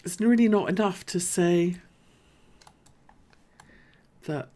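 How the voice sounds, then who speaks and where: Deep-voiced woman chatting through charts. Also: takes it slowly, mellow. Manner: casual, chatty, thinking aloud. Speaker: host. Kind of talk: live market analysis.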